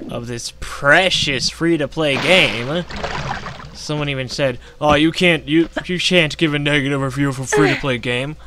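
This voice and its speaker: Hoarse man ranting over gameplay. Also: medium pitch, noisy background. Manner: profane, indignant ranting. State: losing his voice. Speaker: gaming vlogger. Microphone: gaming headset.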